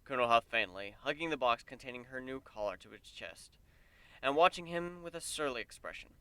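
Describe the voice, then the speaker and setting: A man narrating a story as a dramatic reading, expressive and deliberate in his telling. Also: medium pitch, clear, resonant tone, dry recording.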